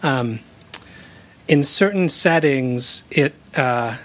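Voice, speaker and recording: A man's voice: mid-range voice, very slow, a bit bright. A man in the audience asking a long question, hesitant and restarting often as he thinks aloud. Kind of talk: question from the floor.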